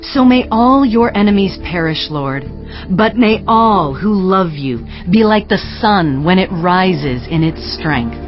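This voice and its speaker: A woman narrator giving a dramatic, poetic and expressive recitation of scripture. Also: lowish voice, slow pace. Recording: dull lo-fi audio, faint music. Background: music.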